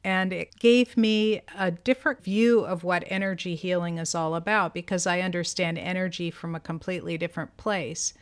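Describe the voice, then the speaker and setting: A woman in her seventies co-hosts a podcast, telling stories about her life with real animation. Her voice sits a little low, and she laughs often, slipping into other people's voices as she quotes them. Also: steady tone, normal speed, hardly any pauses, smooth. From United States. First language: Greek. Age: 70s. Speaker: podcast co-host. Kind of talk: casual conversation.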